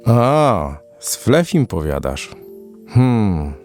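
A man playing a kindly, thoughtful old man in a children's audio play, speaking deliberately with a gravelly voice. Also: fairly low pitch, big pitch swings, darkish tone, heavily acted. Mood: musing. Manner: slow, deliberate, musing. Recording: music under the voice.

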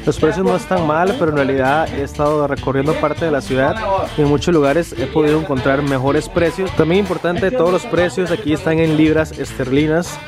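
Brief: young male travel vlogger, raised talking pitch, chatty and direct to camera, handheld camera, loud music underneath. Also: steady tone, fairly quick. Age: young adult. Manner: chatty, addresses viewers directly. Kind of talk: casual travel vlog. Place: outdoors in a busy city, streets and metro. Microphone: handheld camera at arm's length.

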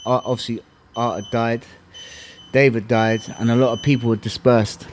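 A middle-aged man with a southern English accent, telling stories casually as an interview guest, in animated, slangy, swear-laden talk that acts out remembered exchanges. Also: mid-range voice, normal speed, a little hiss or hum. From England. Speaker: interview guest, former kickboxing champion.

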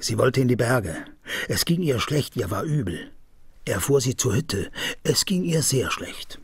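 Terse, animated detective. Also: male voice, medium pitch, measured pace, husky, no room sound, heavily acted. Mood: grim, accusing. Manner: terse, interrogating. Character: probing male police detective, intense and brooding. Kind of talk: German crime radio drama acting.

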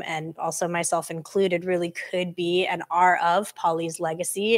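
Panel guest, young woman, lowish voice, earnest and flowing, handheld mic onstage. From Durham, North Carolina. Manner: earnest, talks in long flowing sentences, self-described loud teacher voice. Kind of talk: panel discussion on stage. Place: small stage before a live audience.

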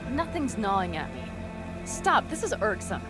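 Actress as a fierce, expressive young nomad woman. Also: medium pitch, normal speed, over music, lightly acted. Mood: tense, anxious. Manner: blunt, emphatic, sardonic. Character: fierce, anxious, warm-hearted young nomad clan leader woman. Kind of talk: video game cutscene dialogue.

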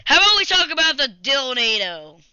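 A young gamer hosting a casual video, chatting and improvising a story in a halting, rambling way. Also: high voice, very animated, bright, forceful tone, dry recording. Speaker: video host and player. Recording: dull lo-fi webcam-grade audio.

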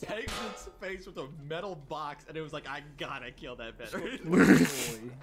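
A man streaming a shooter game, excitable and swearing as he yells at what happens on screen. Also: a little high, moderate pace, creaky, faint music behind. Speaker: gamer streamer. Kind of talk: profane gameplay commentary. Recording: gameplay clip with game noise.

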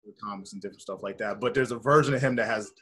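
An American man in his thirties chatting casually on a video call, telling stories in a slangy, rambling, animated way. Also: on the low side, rapid-fire, slightly rough, faint music behind. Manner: animated, slangy, rambling with frequent "you know" and "like". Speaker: actor being interviewed. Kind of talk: casual chat, storytelling. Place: indoor room, video call.

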